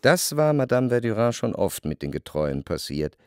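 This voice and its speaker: A man acts an observant literary narrator in a radio play. He tells the story in a measured, wry way, with a medium pitch and widely varied intonation. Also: sing-song, clean tone, no echo, studio quality, close to an everyday voice.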